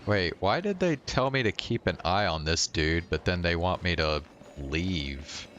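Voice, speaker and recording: Laid-back low-voiced streamer man. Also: animated, measured pace, a bit bright, lo-fi sound, a little hiss or hum. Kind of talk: casual gameplay commentary.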